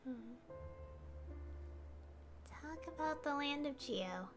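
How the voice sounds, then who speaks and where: A woman's voice: high-pitched, expressive, extremely slow. A woman streaming a video game, casually commenting and reading choices out. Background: music.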